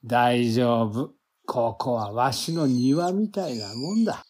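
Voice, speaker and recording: A man's voice: mid-range voice, takes it slowly, darkish tone, slightly rough. A man acting as a kindly older forest guide in an audio drama, with folksy, reassuring speech. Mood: calm, reassuring.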